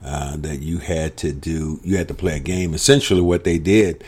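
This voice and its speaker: A middle-aged man, a sports broadcaster, talking sports in a reflective way, often pausing on fillers. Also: very deep voice, fairly even intonation, a little mellow, slightly rough. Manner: reflective, halting with frequent fillers. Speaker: sports broadcaster and podcast co-host. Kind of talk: casual sports talk.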